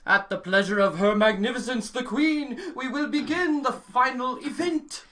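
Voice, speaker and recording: Stately, bright-voiced herald, acted by a woman. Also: on the high side, normal speed, lightly acted. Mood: ceremonial. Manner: deadpan ceremonial proclamations. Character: pompous royal tournament herald, formal and stately. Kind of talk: comic radio play acting.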